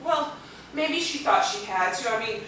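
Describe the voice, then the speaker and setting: A woman's voice: fairly high pitch, moderate pace. A woman playing a feisty, blunt young rebel in a stage play. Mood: disbelieving. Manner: blunt, animated. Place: theatre stage, picked up from a distance. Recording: echoey, noisy stage videotape from far off.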